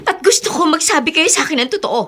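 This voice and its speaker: Filipino radio drama daughter: young, distraught, pleading, high sharp-edged voice. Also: female voice, animated, quick pace. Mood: hurt, confronting. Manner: emotional, pleading, dramatic delivery. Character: distraught young adopted daughter seeking her real mother.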